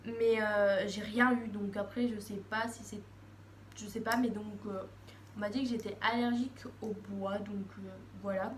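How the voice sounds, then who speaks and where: Une adolescente qui parle face à sa caméra, bavarde, hésitante, avec beaucoup de « euh » et de reprises. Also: female voice, medium pitch, fairly even intonation, normal speed. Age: teens. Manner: chatty, rambling, many fillers and restarts. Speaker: vlogger. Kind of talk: casual talk to camera. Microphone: phone camera. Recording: echoey room, faint background noise.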